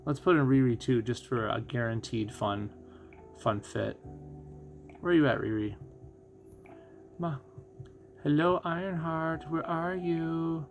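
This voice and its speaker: A man giving casual live game commentary as a streamer, musing and thinking out loud as he goes. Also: a little high, unhurried, long pauses, faint music behind. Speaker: gaming streamer.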